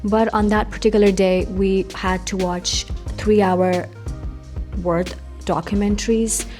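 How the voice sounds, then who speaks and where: Brief: young woman, Urdu native speaker, chatty art student vlogger switching between English and Urdu phrases, mid-pitched, music playing under her. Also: steady tone, measured pace, darkish tone. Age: young adult. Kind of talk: casual vlog narration.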